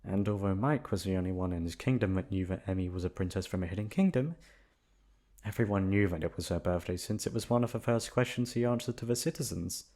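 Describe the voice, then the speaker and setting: A man's voice: low voice, fast talker, warm tone. A man narrating a bedtime storybook tale as a voice actor, in an ASMR sleep-aid style.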